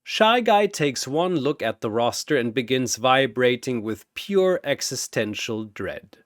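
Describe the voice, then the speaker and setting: A man narrating a scripted story in a dramatic, theatrical and deliberate manner. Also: mid-range voice, sing-song, no echo, clean studio sound.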